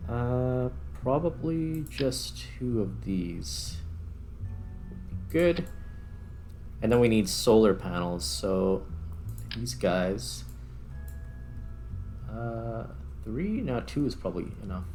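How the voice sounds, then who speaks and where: A man giving casual gameplay commentary, thinking aloud in a halting, musing way. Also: medium pitch, animated, warm tone, soft background music. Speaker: gaming video host.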